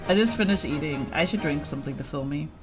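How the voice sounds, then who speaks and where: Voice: mid-range voice, quick pace, over music, low-quality recording. A young adult live streamer giving casual, informal, self-deprecating commentary while playing a game. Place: indoor live stream with game music behind.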